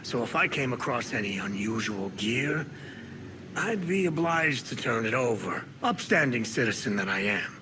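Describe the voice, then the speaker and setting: Sly game bar owner man acting, mid pitched, suave and wry, noisy background. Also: lively intonation, normal speed, lightly acted. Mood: sly, ingratiating. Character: smooth, sly male bar owner, ex-criminal. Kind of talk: conversational video game acting. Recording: clear background noise.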